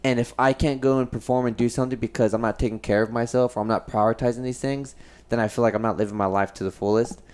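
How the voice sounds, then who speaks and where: A man's voice: mid-range voice, fairly even intonation, fast talker, a little mellow, smooth. A man hosting a casual podcast, talking in a reflective, rambling way with many restarts. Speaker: podcast host.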